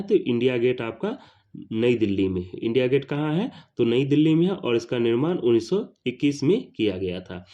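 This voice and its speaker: A man's voice: mid-range voice, dark tone. Man teaching, dull lo-fi recording. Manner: didactic, repeats phrases for emphasis. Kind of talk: exam-prep lesson, reading questions and giving answers.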